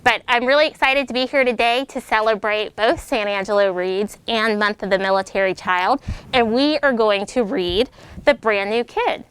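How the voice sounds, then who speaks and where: A middle-aged American woman, a military officer, reading a children's picture book aloud with lively, animated delivery and voiced character lines. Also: fairly high pitch, moderate pace, clean tone, low-quality recording. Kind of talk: storybook read-aloud.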